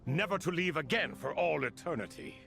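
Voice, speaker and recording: Casting: theatrical old spirit for a game, gravelly, swooping, wry. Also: male voice, a little high, expressive, a little fast, fairly bright tone, faint music behind. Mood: exasperated. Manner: theatrical, ornate phrasing. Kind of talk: video game voice acting.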